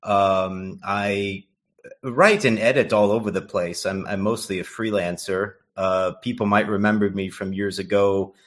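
A man from the United States talks as an interview guest in a somewhat low voice. He rambles, stumbles over his words and fills pauses with uhs. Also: steady tone, fairly bright tone. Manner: rambling, stammering restarts, many uhs. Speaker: guest writer and editor. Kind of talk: casual interview chat.

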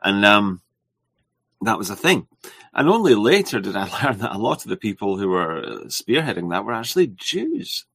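A man's voice: lowish voice, very animated, normal speed, gravelly, fairly bright tone, husky. A man co-hosting a casual chat, speaking hesitantly and stumbling over his words. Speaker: co-host.

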